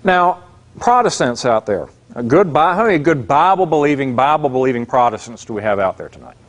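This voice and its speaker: A man's voice: medium pitch, expressive, a little fast. A man giving a casual classroom lecture as an adult religion class instructor, plainspoken and conversational. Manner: conversational, plainspoken, many fillers and asides. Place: church classroom.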